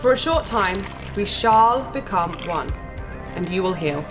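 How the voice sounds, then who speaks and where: Massive insectoid princess played by a woman: regal, blunt, oddly formal diction, mid-pitched, sci-fi audio drama. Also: animated, music in the background, lightly acted, rough recording. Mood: solemn. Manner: formal, deliberate, regal diction with odd "ah" sounds. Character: massive female insectoid alien princess, imperious, blunt, earnest.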